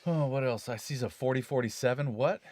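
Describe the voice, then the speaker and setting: A man's voice: mid-range voice, a little fast, a little mellow. A man casually chatting about studio gear on a live stream, rambling and self-correcting as he talks. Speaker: studio engineer co-host.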